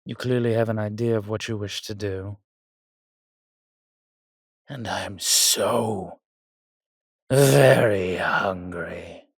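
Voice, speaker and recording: A man's voice: lowish voice, little pitch movement, brisk, few pauses, warm tone, no room sound, clean studio sound, close to an everyday voice. A man playing an arrogant, menacing vampire warrior in an audio roleplay, haughty and theatrical, with suave affection for the listener. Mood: menacing, hungry. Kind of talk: dramatic roleplay monologue, addressing a listener.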